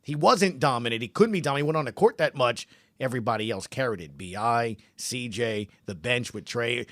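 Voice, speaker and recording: A man's voice: mid-range voice, a little fast, fairly bright tone, no room sound. A man hosting a sports podcast, talking through stats and games in an emphatic, punchy way with rhetorical questions. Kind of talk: animated sports talk, recapping stats.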